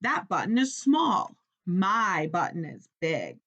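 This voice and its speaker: A woman's voice: lowish voice, big pitch swings, slow, fairly bright tone, lo-fi sound, heavily acted. A woman reading a storybook as a grumpy, easily upset male toad, whiny and exasperated, complaining with emphasis. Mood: annoyed.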